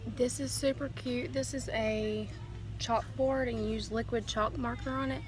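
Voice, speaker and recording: A woman's voice: fairly high pitch, fairly even intonation, a little slow, music in the background. A young woman chatting to her phone camera while browsing a large discount store. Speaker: vlogger. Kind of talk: casual shop-with-me narration. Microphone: phone camera held at arm's length.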